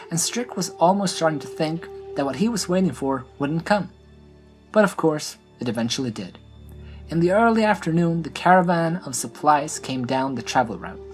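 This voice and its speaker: Measured fantasy story narrator over music. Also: mid-range voice.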